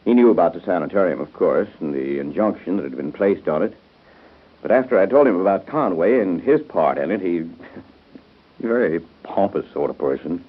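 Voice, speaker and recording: A determined, earnest doctor in a vintage radio soap. His American voice is lowish and husky, with little hesitations as he explains. It comes through a tinny, narrow 1930s broadcast. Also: animated, moderate pace. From United States.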